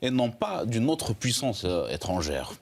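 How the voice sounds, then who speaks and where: A man's voice: fairly low pitch, sing-song, measured pace, slight background noise. A man presenting a live news interview, interjecting to prompt and complete points.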